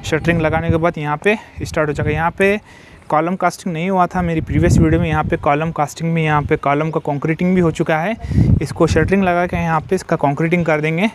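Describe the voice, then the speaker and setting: A man's voice: on the high side, steady tone, fast, background noise. A man walking a building site, filming on a phone and explaining the work in a repetitive, explanatory way, dropping in English technical terms. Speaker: civil construction site vlogger. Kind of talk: walkthrough explaining a construction site. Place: outdoor construction site. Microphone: phone held while filming.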